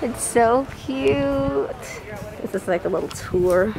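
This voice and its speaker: A woman's voice: fairly high pitch, expressive, takes it slowly, vocal fry, low-quality recording. A woman in her late twenties chatting casually and jokingly with friends in a vlog. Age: late 20s. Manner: playful, joking. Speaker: vlogger. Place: canvas beach cabana, open air. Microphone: handheld vlogging camera.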